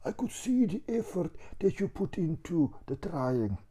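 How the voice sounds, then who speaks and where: A man doing a whispery, mellow mafia godfather. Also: fairly high pitch, big pitch swings, measured pace, voice pushed up, full character voice. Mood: benevolent. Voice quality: hushed, breathy whisper. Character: mafia-boss father figure, older man, grand and benevolent.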